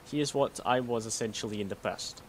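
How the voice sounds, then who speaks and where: A man playing a secretive, guarded synth copy of a Soviet spy in a game mod, explaining in a measured way. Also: mid-range voice, close to an everyday voice, poor audio. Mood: guarded, explanatory. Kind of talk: scripted game mod dialogue. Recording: noticeable background noise.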